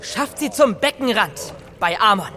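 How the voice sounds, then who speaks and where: Give the priest a high, forceful voice that swoops with self-importance. a zealous, commanding Egyptian temple priest in a children's radio play. He is pompous in every order, ringing out in long reverb. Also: expressive, measured pace, a little hiss or hum, heavily acted. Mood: zealous, threatening. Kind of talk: children's audio drama acting.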